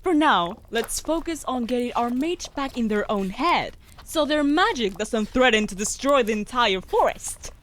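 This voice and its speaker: Woman acting a haughty, high-voiced wolf familiar. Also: lively intonation, measured pace, hardly any pauses, fairly bright tone, a little hiss or hum. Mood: haughty, bossy. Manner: haughty, sardonic, formal fantasy diction. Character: sharp-tongued, bossy, huffy female wolf familiar. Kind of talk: fantasy audio roleplay acting.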